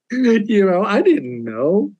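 A man's voice: sits high, warm tone, husky, no room sound, muffled recording. An older man, an author, talking earnestly and reflectively as an interview guest, often laughing. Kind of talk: casual interview talk.

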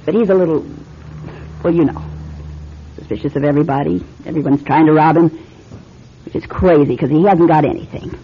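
Low-voiced, gossipy landlady. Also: a little fast, pauses often. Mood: dismissive, gossipy. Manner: chatty, folksy, offhand. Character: gossipy, dismissive, plain-spoken landlady. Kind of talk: old-time radio drama acting. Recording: dull, noisy old radio drama recording.

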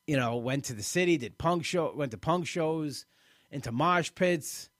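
A man in his late thirties sharing his weight-loss journey in a halting, personal way, often restarting and repeating words. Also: on the high side, fairly bright tone, dry recording, clean studio sound. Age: late 30s. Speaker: weight-loss program member. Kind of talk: personal testimonial talk.

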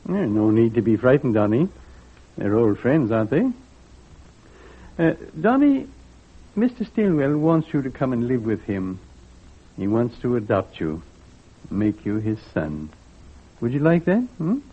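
An actor playing a kindly, avuncular judge in an old-time radio drama, genial and deliberate, with a husky voice. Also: male voice, medium pitch, big pitch swings. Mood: gentle. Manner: genial, measured, hemming. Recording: tinny, muffled vintage radio recording.

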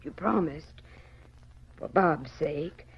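An actress playing a gentle, anxious middle-aged frontier mother in an old radio drama, homespun and emotional. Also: sits low, slow, slightly rough, heavily acted. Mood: pleading. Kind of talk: 1940s radio drama acting. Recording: muffled old radio recording, faint noise.

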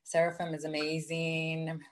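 A woman's voice: low voice, monotone, unhurried, slight background noise. A woman hosting a podcast, effusive and gushing with praise as she thanks her guest and wraps up the show. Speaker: podcast host. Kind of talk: casual podcast interview.

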